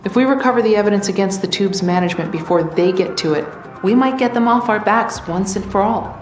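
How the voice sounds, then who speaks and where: A woman's voice: medium pitch, brisk, reverberant, faint music behind, close to an everyday voice. An actress playing a resourceful, scheming female leader who hands out missions in a video game, confiding and businesslike. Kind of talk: scripted video game dialogue.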